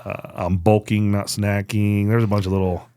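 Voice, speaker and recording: Male American co-host, relaxed and joking, lower-register voice, dry podcast sound. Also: moderate pace, smooth, no echo. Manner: laid-back, jokey, casually profane. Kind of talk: casual podcast chat.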